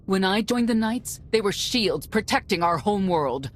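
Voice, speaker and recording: An actress playing a proud, dutiful older warrior knight in a video game, solemn and earnest. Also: female voice, medium pitch, animated, moderate pace, slight background noise, lightly acted. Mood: bitter regret.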